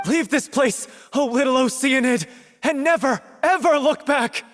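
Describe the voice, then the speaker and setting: An actor voicing an earnest, articulate young troupe member in a video game. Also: male voice, very high-pitched, unhurried, a bit bright, faint music behind. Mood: impassioned.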